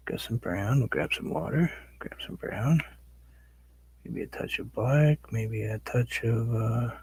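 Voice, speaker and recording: A middle-aged man with a raspy voice, rambling casually to viewers as he paints on a live stream. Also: mid-range voice, warm tone, no echo. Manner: rambling, talks to viewers while working. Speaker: painting livestream host. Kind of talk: casual chat while painting.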